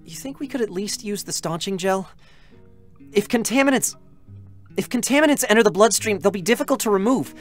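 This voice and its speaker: A man's voice: sits high, lively intonation, a little fast, soft background music. A man voicing a boyish, chatty young male android scout in a dramatic reading, eager, hesitant and openly emotional. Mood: anxious, caring.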